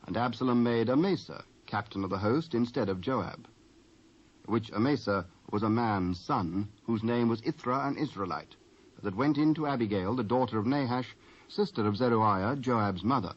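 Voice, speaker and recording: A man's voice: medium pitch, moderate pace. Muffled British male scripture narrator. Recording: muffled cassette transfer with faint noise.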